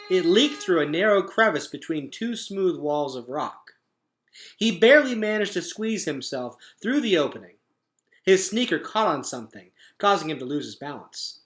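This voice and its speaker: Bright, animated male storybook reader. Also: fairly high pitch. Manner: dramatic, expressive storytelling. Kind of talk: animated children's book narration.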